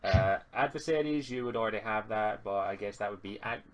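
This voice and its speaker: Man reading out tabletop game rules, halting. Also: fairly low pitch. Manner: halting, reading items aloud from a rulebook. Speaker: game master.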